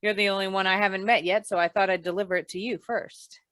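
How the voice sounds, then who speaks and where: A woman playing a deadpan, hard-boiled female investigator in an old-time radio crime noir, with dry, world-weary delivery. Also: mid-range voice, quick pace, dry recording, lightly acted. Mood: cool, matter-of-fact. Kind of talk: 1940s radio noir narration and dialogue.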